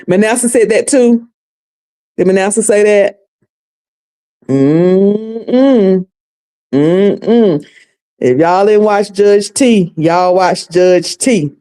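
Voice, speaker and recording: A woman's voice: medium pitch, drawn-out words, pauses often, mellow. A woman from North Carolina with a Southern accent, hosting a casual recap stream and chatting folksily with her viewers. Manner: chatty, folksy, addresses viewers as y'all and sugar. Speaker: commentary livestream host.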